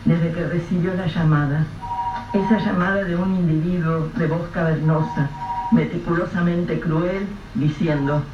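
A man narrating a radio drama in a measured, grave storytelling voice. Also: a little high, steady tone. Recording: echoey, distant, dull lo-fi with faint hiss.

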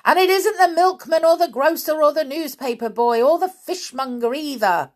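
A woman performs a brisk young male adventurer from a children's tale. He is emphatic and easily exasperated, with a lively, swooping pitch. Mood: cross. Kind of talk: children's storybook character voice.

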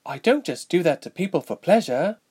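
Man voicing an exasperated young princess, high-pitched. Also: big pitch swings, brisk, dark tone, breathy edge. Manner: exasperated, emphatic. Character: young princess, petite, impatient and earnest.

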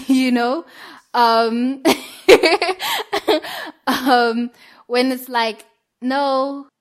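A woman's voice: high-pitched, extremely slow. A young woman, chatting casually on a podcast, filling her talk with "like" and "um" and laughing often. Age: young adult. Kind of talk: casual chat.

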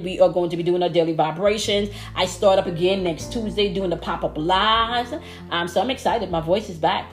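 Blunt, cursing woman reading tarot. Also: medium pitch. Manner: blunt and profane, repeats "okay?" often. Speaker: tarot reader. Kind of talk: casual daily tarot reading.

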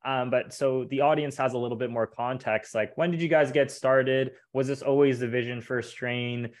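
A man's voice: medium pitch, steady tone, moderate pace. A man hosting a podcast interview in a casual, chatty, informal way.

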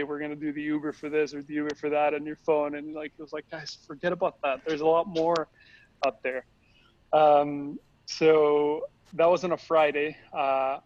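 Halting Costa Rican-born young man. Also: on the high side. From Costa Rica. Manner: halting, many restarts and repeated words. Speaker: tequila company founder. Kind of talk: casual interview answers. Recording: dry, slightly dull sound.

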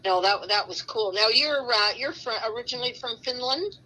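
A woman's voice: on the high side, lively intonation, moderate pace, bright, forceful tone, rough recording. A woman co-hosting an interview podcast, asking friendly questions and giving brief reactions. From Canada. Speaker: podcast co-host. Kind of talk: casual interview chat.

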